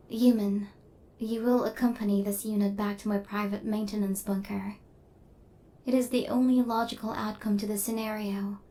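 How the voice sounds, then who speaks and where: A woman voicing a coldly logical but tenderly possessive female killer robot in an ASMR roleplay, with formal, deadpan, machine-like phrasing. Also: medium pitch, close to an everyday voice. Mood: resolute.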